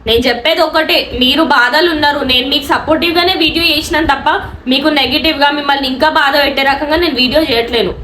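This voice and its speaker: A woman's voice: high-pitched, fast, bright, forceful tone. A woman online anchor with a Telangana Telugu accent, speaking defensively and insistently to a critic in a response video, mixing in English words. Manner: indignant, defensive, insistent rhetorical questioning; mixes English words into speech. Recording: echoey room with faint background noise.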